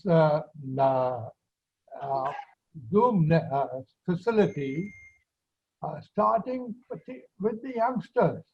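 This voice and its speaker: Halting man on a muffled call. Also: high-pitched, slightly rough. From Pakistan. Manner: halting, many filler pauses. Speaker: meeting participant. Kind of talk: video call discussion.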